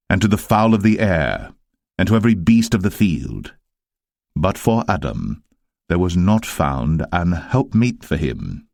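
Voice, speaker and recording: Deep-voiced man narrating scripture. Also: gravelly, a little mellow, no echo, clean studio sound. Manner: solemn, measured.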